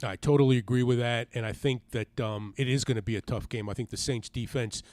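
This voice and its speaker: A man's voice: mid-range voice, steady tone, fast, a little mellow, dry recording. A man co-hosting a casual sports radio show, talking conversationally into a close studio mic.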